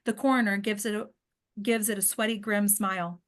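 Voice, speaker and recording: A woman's voice: mid-range voice, steady tone, brisk, fairly bright tone. A woman narrating screenplay directions at a table read, now and then stumbling over words and rereading them. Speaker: narrator reading stage directions.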